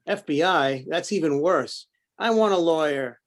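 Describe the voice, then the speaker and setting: A man's voice: a little high, unhurried, close to an everyday voice. A man playing a grumpy, defensive researcher with a snappish, indignant manner in a readers-theater drama. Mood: defensive, curt. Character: jittery, grumpy, defensive male UFO researcher. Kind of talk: amateur readers-theater script reading.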